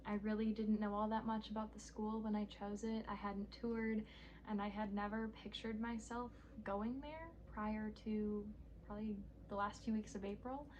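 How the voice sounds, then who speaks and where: A young woman telling her own story in a personal documentary, earnest and quietly sad, then interviewing others. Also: medium pitch, level tone, normal speed, muffled recording, a little hiss or hum. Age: young adult. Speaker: student filmmaker and interviewer.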